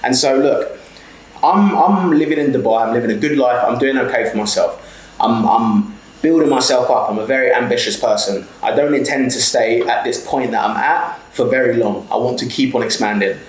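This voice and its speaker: A man's voice: mid-range voice, normal speed, roomy sound, poor audio. Sweary South London man in his twenties. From South London, England. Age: 20s. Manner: blunt, heavily sweary, repeats "okay?". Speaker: small business owner and mentor. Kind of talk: direct-to-camera motivational talk.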